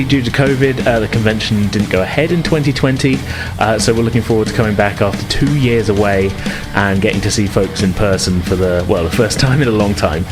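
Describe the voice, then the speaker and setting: A man hosting a tabletop game podcast and narrating in a conversational, slightly hesitant way. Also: medium pitch. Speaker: podcast host and game master.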